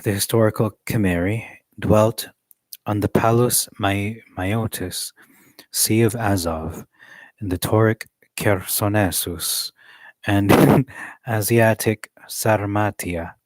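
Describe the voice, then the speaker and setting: Man, very low voice, measured reading, casual asides, dry close recording. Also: no room sound, rough recording. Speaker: reader of a study text. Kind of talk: reading a book aloud with asides.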